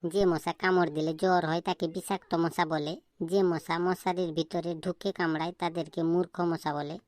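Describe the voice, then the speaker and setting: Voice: medium pitch, level tone, brisk, darkish tone, dry recording, studio quality, natural voice. A performer voicing a cheeky schoolboy in a comedy cartoon, earnestly reeling off wordy, silly answers.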